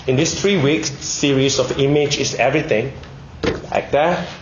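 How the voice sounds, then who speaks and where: A Malaysian man delivers a prepared, sermon-like talk in measured phrases, often posing questions to his listeners. His voice sits at an average pitch and he is getting over a cold. The room is echoey, with faint noise behind him. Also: lo-fi sound. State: recovering from a bad cold, one ear blocked. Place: indoor room, speaking to an audience.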